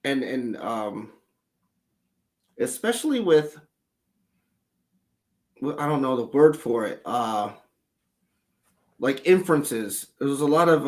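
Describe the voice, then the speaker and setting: A man hosting a casual livestream, talking loosely and animatedly as he breaks down video footage, with the odd curse. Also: mid-range voice. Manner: animated, loose storytelling with profanity.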